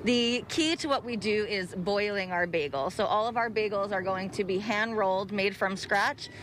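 A woman's voice: mid-range voice, normal speed, a bit bright, a little hiss or hum. Upbeat young woman explaining her bagels. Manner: upbeat, explanatory, lists menu items. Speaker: bagel business owner. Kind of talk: live TV interview. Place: outdoor park by the ocean. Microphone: handheld reporter mic.

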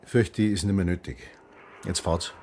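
An actor playing a sober police colleague relaying dispatches in a radio drama, with a Bavarian accent. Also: male voice, low-pitched, steady tone, measured pace, a little mellow, faint music behind. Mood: sober, low-key. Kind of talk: naturalistic radio drama acting.

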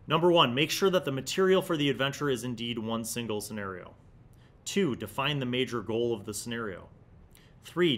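A man's voice: medium pitch, a bit bright. A man in his forties hosting a review, enthusiastic and emphatic, mixing casual commentary with reading aloud into a desk microphone in a small studio room. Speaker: host of a tabletop gaming magazine review series. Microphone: desk microphone close in front.

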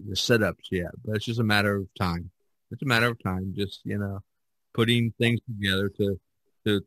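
Low-pitched man in casual conversation. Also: a bit bright, dry recording, low-quality recording. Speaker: podcast host. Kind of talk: casual chat about painting.

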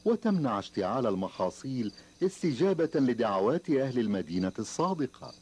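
A man's voice: medium pitch, sing-song, brisk, breathy edge. A man narrating a children's story in a dramatic, scripted style. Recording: loud ambient background noise.

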